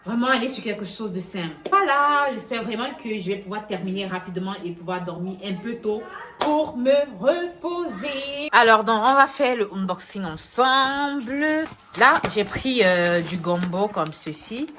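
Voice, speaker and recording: A woman vlogger, hoarse from a cold and tired after work, chatting casually to camera about cooking and groceries, with many fillers. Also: medium pitch, lively intonation, normal speed, fairly bright tone. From now living in Canada. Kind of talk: casual vlog chat. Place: home kitchen.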